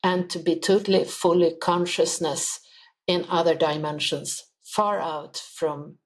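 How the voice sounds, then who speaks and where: Slow-spoken woman, earnest healer. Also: fairly low pitch, steady tone. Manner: slow, earnest explaining with simple, uneven English phrasing. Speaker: spiritual healer guest. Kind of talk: podcast interview answers.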